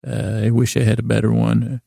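A man hosting a sleep podcast with a lulling, languid bedtime monologue, meandering and halting, often stammering into false starts. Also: lowish voice, monotone, dark tone, no echo, clean studio sound. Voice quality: gravelly, creaky. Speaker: podcast host. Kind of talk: rambling bedtime monologue to help listeners sleep.

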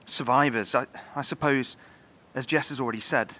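A man's voice: medium pitch, sharp tone, natural voice. Actor as grave, tense male bunker engineer. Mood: solemn, grieving. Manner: halting, solemn, careful explaining. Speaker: lead engineer. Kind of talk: horror audio drama dialogue.

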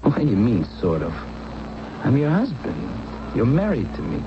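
A man's voice: mid-range voice, wildly swooping pitch, normal speed. An actor playing an anxious, pleading husband in a radio drama, insistent and stammering as he reassures. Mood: coaxing, reassuring. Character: anxious, pleading husband at his wife's bedside. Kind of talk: 1970s radio drama acting. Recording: dull, noisy old radio broadcast.

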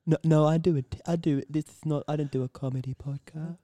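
A man's voice: fairly high pitch, fairly even intonation, quick pace, dark tone, no room sound, close to an everyday voice. A man with an Australian accent voicing Charles, a chatty eighty-six-year-old Australian man who rambles in a polite, doddery way about tea and errands. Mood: flustered. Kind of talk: improvised comedy character. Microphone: studio microphone.

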